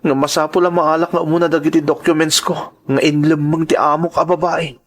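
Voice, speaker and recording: A man's voice: fairly high pitch, little pitch movement, brisk, lightly acted. A man with a Filipino accent playing an earnest, worried migrant worker in an Ilocano radio drama, speaking in a hesitant, respectful way. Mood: worried, confiding. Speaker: voice actor.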